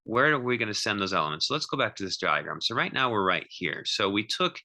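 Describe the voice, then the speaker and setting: A man giving an informal, step-by-step math lecture as a teacher, thinking aloud in a halting way and repeating himself. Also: lowish voice, very animated, sharp tone.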